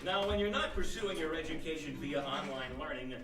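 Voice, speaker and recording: A man's voice: a little high, expressive, fairly quick. A man playing a grandiose, theatrical narrator and announcer in old-time radio style. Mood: mock-solemn. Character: grandiose, mock-solemn storyteller and sponsor announcer. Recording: echoey studio stage, distant mic, loud music.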